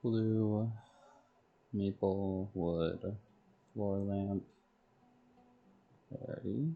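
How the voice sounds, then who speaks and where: A man streaming a game, talking to himself in halting, terse fragments. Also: on the low side, steady tone, creaky, phone-quality sound. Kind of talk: muttering item names while making a list.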